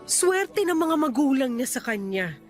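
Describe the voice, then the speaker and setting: A doting mother with a Filipino accent and a fairly high voice. She talks in a chatty, admiring, motherly way, as in a radio drama, with music playing underneath. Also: very animated, unhurried. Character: doting Filipino mother, admiring, gently comparing her child.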